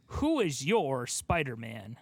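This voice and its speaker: A man's voice: medium pitch, wildly swooping pitch, takes it slowly, gravelly, a little mellow. A man co-hosting a casual pop-culture chat, asking questions and giving his opinions in an informal way. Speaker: podcast co-host asking questions.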